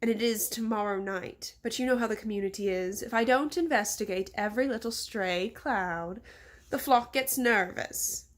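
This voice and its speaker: A woman voicing a pompous, rude male mayor with a British accent and a drawn-out, condescending delivery in a story. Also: mid-range voice, close to an everyday voice. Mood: pompous, insistent.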